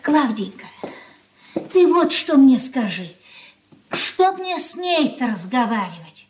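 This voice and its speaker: A woman's voice: sits high, big pitch swings, slow, slight background noise, heavily acted. An actress playing a simple, flustered mother of grown children, with anxious, hesitant, folksy speech in a Soviet radio play. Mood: anxious, homely. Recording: roomy 1980s radio drama studio sound.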